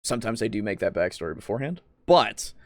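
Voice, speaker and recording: A man's voice: on the low side, big pitch swings, quick pace, no echo. A man hosting a casual group discussion, talking informally with some loose swearing. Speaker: podcast host.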